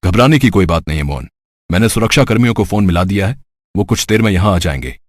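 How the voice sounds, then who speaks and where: Deep, fast-talking husky doctor, played by a man. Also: lively intonation, dry recording. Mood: reassuring. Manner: reassuring. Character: calm, dutiful, reassuring male doctor. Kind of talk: Hindi cartoon story voice acting.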